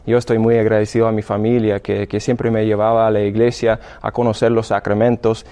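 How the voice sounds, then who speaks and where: A man's voice: lowish voice, level tone, warm tone, smooth. American man, hesitant Spanish. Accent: American English. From Illinois, United States. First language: English. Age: 30s. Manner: halting, many restarts. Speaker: seminarian guest. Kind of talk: radio interview testimony.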